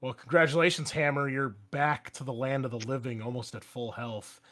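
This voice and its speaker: A man's voice: mid-range voice, a little fast, fairly bright tone. A man speaking as a game master, casually explaining rules and narrating the action with some swearing. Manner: casual, profane.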